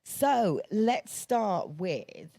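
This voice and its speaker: A woman's voice: medium pitch, very animated, drawn-out words, dark tone, no echo. A woman hosting a podcast interview, effusive and encouraging toward her guest. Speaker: podcast host. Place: podcast studio.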